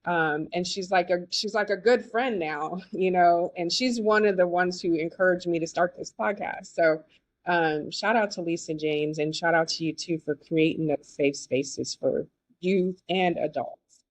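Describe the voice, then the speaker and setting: A woman hosting a podcast, listening warmly and affirming her guest often. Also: fairly low pitch, unhurried, dry recording. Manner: affirming, enthusiastic listener, many yeses. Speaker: podcast host. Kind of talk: casual interview conversation.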